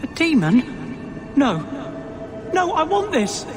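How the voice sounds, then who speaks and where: An actor playing an eager, naive youth longing for his father in a fantasy game, excitable and pleading. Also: extremely high pitch, takes it slowly, over music, exaggerated voice. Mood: distraught.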